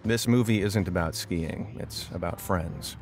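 A man narrating a short film in a calm, wistful, measured way, reading a scripted reflective voiceover. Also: low voice, soft background music.

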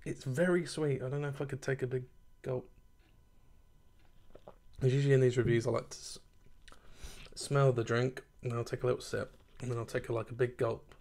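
Brief: thirties male home reviewer, gravelly mid-pitched voice, chatty and self-amused, recorded on a tabletop camera in a kitchen. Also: little pitch movement, a little fast, long pauses, darkish tone. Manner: chatty and rambling, laughs at himself. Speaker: beer reviewer. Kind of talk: casual chat to camera.

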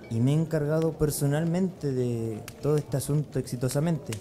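An actor playing a zealous, formal young persecutor in a religious radio drama, deliberate and earnest. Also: male voice, mid-range voice, dark tone, slight background noise, natural voice.

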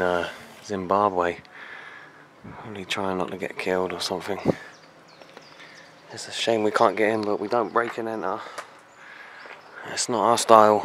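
Excitable British explorer outdoors, low voice. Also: male voice. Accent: British English. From England. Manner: excitable, hushed then loud, slangy. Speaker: urban explorer vlogger. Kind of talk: handheld exploring vlog. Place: outdoors on open grounds. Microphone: handheld action camera. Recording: noisy outdoor camera audio.

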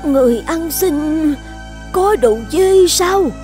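A woman voicing a kind, selfless elderly village midwife and grandmother in an animated folktale, speaking gently and earnestly. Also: sits high, takes it slowly, soft background music. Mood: anxious, astonished.

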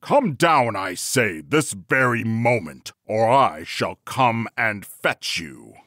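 A man's voice: low-pitched, animated, very slow, vocal fry, no echo, clean studio sound, heavily acted. A man playing a brutal, murderous husband in a fairy tale, bawling menacing commands in a rage. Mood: enraged bellowing.

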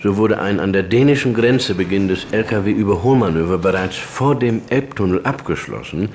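An actor playing a precise, academic astrophysicist, deadpan, in a mock radio interview. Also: male voice, on the low side, normal speed, slight background noise, lightly acted. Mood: matter-of-fact. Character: dry, matter-of-fact male astrophysicist being interviewed.

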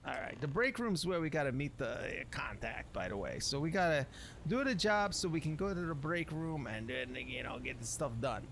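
A man commentates casually on a game in a slangy, folksy way. His fairly high voice swings animatedly, with some gravelly fry, as he mutters along. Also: lively intonation, moderate pace, a bit bright, slight background noise. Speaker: gameplay streamer.

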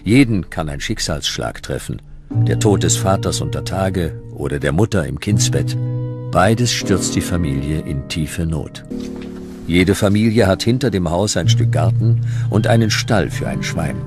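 A man reading scripted history narration as a documentary narrator, in measured, dramatic short sentences. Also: low voice, animated, over music.

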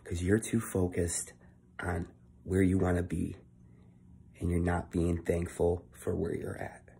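A young man giving a casual motivational monologue into his phone at home, keeping his voice low and swearing freely. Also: monotone, measured pace, vocal fry, dark tone, breathy edge. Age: young adult. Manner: talking low and swearing often, earnest. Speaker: vlogger. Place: indoor room at home. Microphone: phone held close at arm's length.

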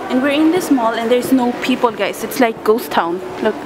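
A woman's voice: fairly high pitch, animated, normal speed, background noise. Giggly young woman vlogging at arm's length. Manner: giggly, chatty, mixes in Tagalog words. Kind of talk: casual vlog chat. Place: outdoors on a bridge, then inside a mall and shops. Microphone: handheld camera at arm's length.

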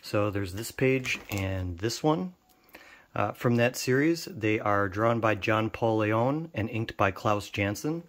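A man in his thirties, a comic art collector, giving a casual and relaxed spoken introduction with some fillers. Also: lowish voice, slow. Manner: relaxed, plain talk with fillers.

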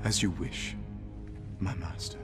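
An actor playing a curt, guarded young assassin apprentice in a video game, with a sardonic manner. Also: male voice, low-pitched, slow pace, over loud music. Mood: obedient. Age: young adult. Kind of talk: video game cutscene acting.

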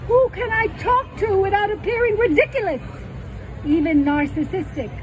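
A woman's voice: normal speed, some chatter behind, a little hiss or hum. Older woman reading poems with dramatic leaps. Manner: animated, dramatic delivery with sudden leaps up in pitch. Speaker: poet. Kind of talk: poetry reading. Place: small outdoor stage under trees at a festival.

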